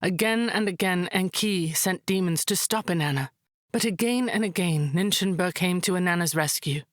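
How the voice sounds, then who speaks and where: A woman playing a calm, wise high priestess who tells myths in a measured, solemn way, as in a visual novel. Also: no room sound, clean studio sound, lightly acted. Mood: solemn, reverent.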